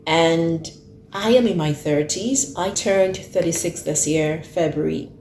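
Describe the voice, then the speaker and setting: A woman's voice: low-pitched, measured pace, a little mellow, roomy sound, a little hiss or hum. A woman in her thirties hosting an earnest talk, who repeats her points for emphasis. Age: 30s. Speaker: host. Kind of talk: casual talk to camera.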